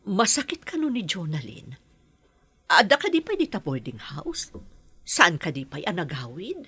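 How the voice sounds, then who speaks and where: A worried middle-aged mother played by an actress with a husky, low voice and an Ilocano accent. Her audio drama delivery moves expressively up and down. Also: wildly swooping pitch, normal speed, pushed and bright, no echo, full character voice. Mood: anxious, gentle. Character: worried mother guarding her adopted child.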